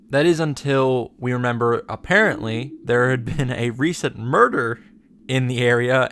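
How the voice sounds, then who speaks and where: A man's voice: mid-range voice, a bit bright, clean tone, dry recording, studio quality. A man hosting a casual game recap, joking along with wry, playful asides. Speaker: visual novel reaction and summary host. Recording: music under the commentary. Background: game music.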